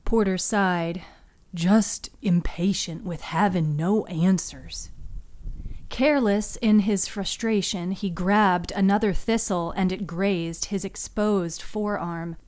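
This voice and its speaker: A woman's voice: medium pitch, fairly even intonation, slow, darkish tone. A woman hosting and narrating a fiction podcast in a scripted storytelling style.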